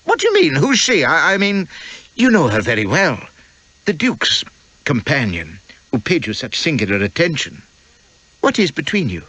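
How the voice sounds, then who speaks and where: A man voicing a sweet, jealous, scatterbrained young Victorian wife, breathy and pleading as he reads the story. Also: on the high side, wildly swooping pitch, moderate pace, bright, forceful tone, dry recording, voice pushed up, exaggerated voice. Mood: suspicious. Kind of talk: audiobook character voice.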